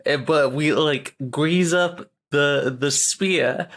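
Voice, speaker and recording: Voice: mid-range voice, takes it slowly, a bit bright. A player voicing a folksy, easygoing adventurer in a tabletop game, rambling and colloquial. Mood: scheming.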